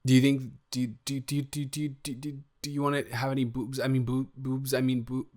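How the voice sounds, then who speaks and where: Casting: male actor for a sweet, easily flustered young boyfriend in intimate audio roleplay, mid-pitched, halting and stammering, eager and smitten. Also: level tone, darkish tone, close to an everyday voice. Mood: flustered, rambling. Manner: stammering, halting, trailing off and correcting himself.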